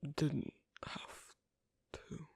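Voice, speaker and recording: A performer voicing a shy, awkward, asocial young werewolf in an intimate ASMR roleplay, speaking softly and haltingly in a breathy, husky near whisper. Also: dry recording, studio quality. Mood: shy, touched. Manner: hesitant, halting, soft-spoken with long pauses.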